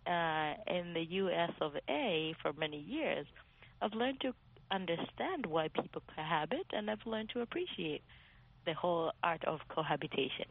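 A woman's voice: lowish voice, expressive, normal speed, creaky, narrow, tinny audio. A woman from Africa, a guest on a talk show, giving a frank, anecdotal opinion. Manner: frank, anecdotal, stammers at starts. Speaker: panel guest. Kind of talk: casual opinion on a panel.

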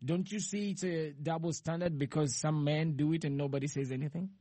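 A man from Africa hosting a panel talk show, moderating and laughing often between quick questions. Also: fairly high pitch, steady tone, dark tone, husky, no room sound.

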